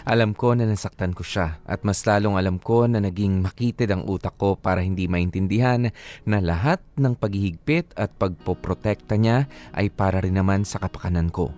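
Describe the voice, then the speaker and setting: A man's voice: sits low, normal speed, darkish tone, faint music behind, lightly acted. A man narrating a first-person letter in a radio drama, reflective and earnest as he recalls youth. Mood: reflective, regretful. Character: letter writer recalling youth under a strict older sister.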